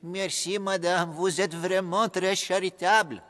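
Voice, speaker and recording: An actor playing an old beggar woman in a cartoon, peddling lucky charms in a wheedling, flattering way. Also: medium pitch, measured pace, close to an everyday voice. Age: older. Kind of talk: cartoon voice acting.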